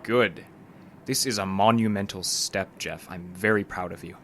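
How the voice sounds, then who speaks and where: Low-voiced, probing psychologist. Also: male voice, fairly even intonation, a bit bright, slight background noise. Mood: encouraging. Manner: measured, patient, clinical questioning. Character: calm, probing adult male psychologist. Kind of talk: audio drama acting. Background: music.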